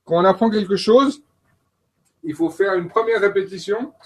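A man's voice: sits high, moderate pace, background noise. Male coach, slightly raised voice, hesitant, lots of fillers. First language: French. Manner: hesitant, many fillers and restarts. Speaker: coach and trainer. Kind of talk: panel talk on learning.

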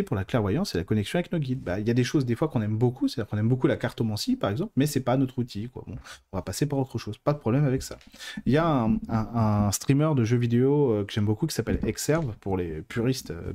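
Guy doing a casual livestream, mellow soft-edged voice, chatty, rambles off on tangents, informal wording. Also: medium pitch. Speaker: host of a live stream on mediumship and clairvoyance. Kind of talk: casual live talk answering viewer questions.

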